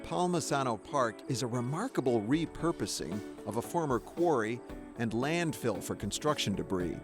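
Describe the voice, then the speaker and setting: A man's voice: mid-range voice, normal speed. A man hosting and narrating a documentary in a wry, conversational way, sometimes asking interview questions. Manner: wry, conversational, lively delivery. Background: music under the narration.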